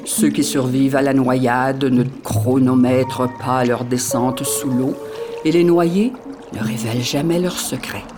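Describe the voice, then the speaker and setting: Slow measured poetic narration, music under it. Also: medium pitch, steady tone. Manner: slow, measured, grave delivery.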